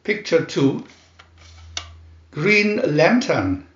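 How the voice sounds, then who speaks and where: A man reading scripted children's narration like an announcer, with a deliberate, dramatic delivery. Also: fairly high pitch, big pitch swings. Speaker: booklet reader and channel host. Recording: roomy echo, dull lo-fi sound.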